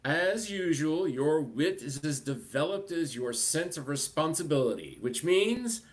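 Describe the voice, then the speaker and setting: A man's voice: a little high, very animated, unhurried. A man voicing a scornful young woman warrior of the royal guard, sarcastic, in a dramatic comic book reading.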